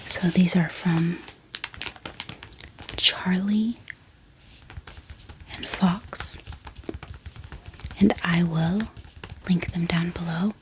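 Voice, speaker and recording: A woman hosting an ASMR channel, speaking in a gentle, hushed whisper with pauses. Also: lowish voice, steady tone, slight background noise, poor audio. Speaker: ASMR book channel host. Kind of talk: soft-spoken whispered ASMR.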